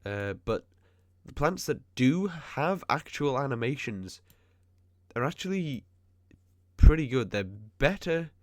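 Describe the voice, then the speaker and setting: A man's voice: mid-range voice, very animated, no room sound, studio quality. A man with a cold and a blocked, nasal voice, chatting casually while commenting on a game he is playing. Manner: nitpicking, chatty, quick asides. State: stuffy nose from a cold. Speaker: gaming video host.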